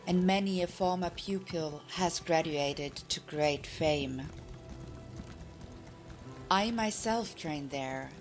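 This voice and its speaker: A woman audiobook reader narrating a fantasy tale from a script. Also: low voice. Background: music.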